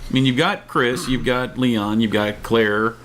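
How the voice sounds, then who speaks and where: A man's voice: mid-range voice, a little slow, fairly bright tone, poor audio. Man chatting casually over noticeable background noise. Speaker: co-host of a pop culture discussion show. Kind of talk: casual opinionated chat.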